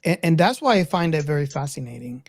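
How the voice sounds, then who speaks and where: A man's voice: fairly high pitch, very animated, quick pace, no room sound. A man in a casual film discussion, earnest and insistent, repeating his phrases to make a point. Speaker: podcast co-host.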